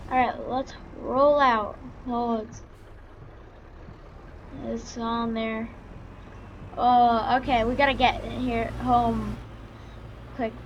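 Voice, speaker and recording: A woman doing casual, halting roleplay commentary over a farming game, excitable and given to exclaiming. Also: on the high side, lo-fi sound. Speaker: gaming video creator. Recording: noisy, with vehicle engine sounds.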